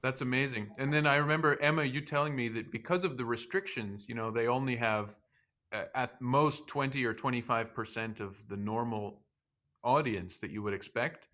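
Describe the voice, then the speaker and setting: Bright-voiced hesitant male host. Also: medium pitch, moderate pace. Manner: hesitant, many restarts, polite. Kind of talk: video-call interview. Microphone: video call.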